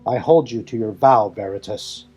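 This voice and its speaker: A man's voice: fairly low pitch, a little mellow, natural voice. Man acting a solemn, resolute warrior leader over music. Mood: stern, firm. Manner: formal, earnest, deliberate phrasing. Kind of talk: fan audio drama acting.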